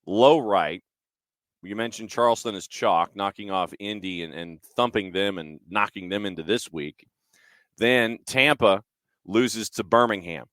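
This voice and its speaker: A man's voice: lowish voice, measured pace, fairly bright tone, no echo. A man hosting casual sports talk, recapping results in short, clipped phrases with dry asides. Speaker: sports show host.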